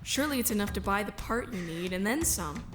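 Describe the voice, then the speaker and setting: A woman's voice: mid-range voice, expressive, fairly quick, over music, close to an everyday voice. A woman playing a sensitive, resolute outsider who narrates a space drama in the first person. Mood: hesitant, resolute.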